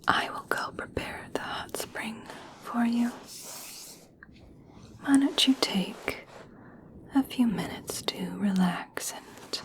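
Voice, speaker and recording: Female performer as regal elf princess for fantasy ASMR: whispered, unhurried, gracious and gently coaxing. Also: on the high side. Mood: gracious, soothing. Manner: soft, slow, soothing, formal. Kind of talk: whispered ASMR personal attention roleplay.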